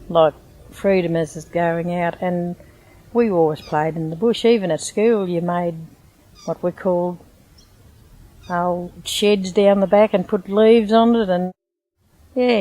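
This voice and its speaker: A woman's voice: medium pitch, poor audio. An older woman with an Australian accent, reminiscing chattily about growing up in the bush. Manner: chatty, warm storytelling with "you know". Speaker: interviewee, local resident. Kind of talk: casual reminiscing.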